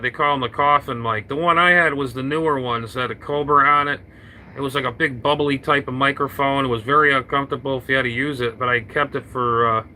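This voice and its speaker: A man chatting casually over his radio, rambling and halting with lots of fillers and restarts, talking into an old hand mic. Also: medium pitch, bright, forceful tone, slight background noise, low-quality recording. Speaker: radio operator. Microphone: vintage hand mic on a radio.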